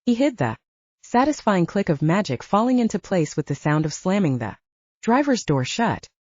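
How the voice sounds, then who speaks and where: Female audiobook narrator, soft relaxed tone, highly varied pitch, muffled recording. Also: normal speed, no room sound. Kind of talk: scripted fiction narration.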